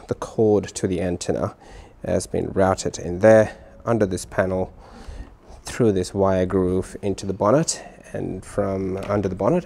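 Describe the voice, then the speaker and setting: Low-voiced man, casual DIY vlogger. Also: a little mellow. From Australia. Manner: informal, thinking aloud as he works. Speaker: vlogger showing a DIY car radio install. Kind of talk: casual hands-on explainer vlog.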